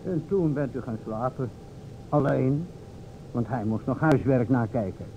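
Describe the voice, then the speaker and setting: Gravelly, solemn radio-drama investigator. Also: male voice, lively intonation. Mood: insinuating, polite. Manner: formal, deliberate, dramatic storytelling with pointed pauses. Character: solemn, theatrical investigator addressing a bereaved widow. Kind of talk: old Dutch radio drama monologue. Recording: vintage radio, narrow band, hiss and rumble.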